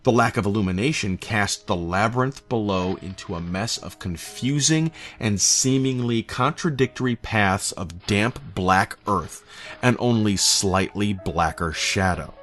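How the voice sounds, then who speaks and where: A man narrates a scripted horror story in a fairly low voice. His delivery is measured and literary. Soft, eerie music runs faintly behind him.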